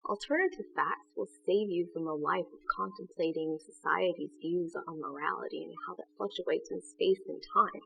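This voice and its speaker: A woman puts on a propagandist saleswoman with a husky, gravelly voice, a little away from the mic. She gives a mock-friendly, menacing sales pitch in a comic explainer sketch. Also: moderate pace, few pauses, bright, forceful tone, distant mic, heavily acted, low-quality recording. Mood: salesy. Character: chirpy, menacing alternative-facts propagandist saleswoman.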